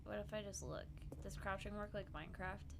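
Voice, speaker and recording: A woman's voice: mid-range voice, level tone, fairly quick, creaky, slight background noise. A young woman with a stuffy nose, playfully teasing a game as she streams. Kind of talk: casual gameplay commentary.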